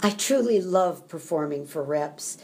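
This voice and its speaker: A woman's voice: low voice, expressive, a little slow, a little mellow. An older woman, an acting teacher, reading a scripted first-person narration. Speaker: acting and tap dance teacher.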